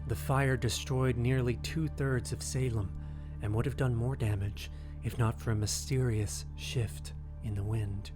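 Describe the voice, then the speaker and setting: A man giving measured, ominous scripted narration in a documentary style, like a storyteller of ghost lore. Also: medium pitch, fairly even intonation. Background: music.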